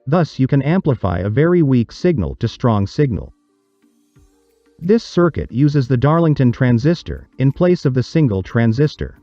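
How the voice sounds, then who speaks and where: A man reading a scripted explanatory narration for a tutorial, in a measured, didactic, step-by-step manner. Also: medium pitch, warm tone, dry recording, dull audio.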